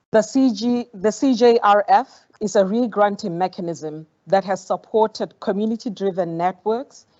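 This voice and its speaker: A woman whose first language is Setswana, giving a measured, formal conference speech as a foundation program director. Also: medium pitch, darkish tone, dull audio. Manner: measured, formal, with occasional stumbles. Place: conference hall.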